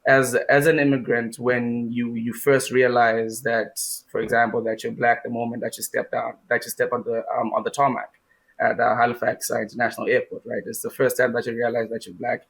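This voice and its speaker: A man's voice: medium pitch, flat delivery, a little fast, gravelly, a bit bright, poor audio. A young man from Zimbabwe, a guest in an interview, answering with many fillers and restarts.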